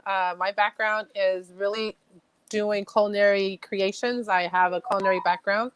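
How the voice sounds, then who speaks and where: A woman's voice: medium pitch, clean tone, dry recording, low-quality recording. A woman from Thailand, a chef, talking as a podcast guest in a friendly way and laughing often. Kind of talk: casual interview chat.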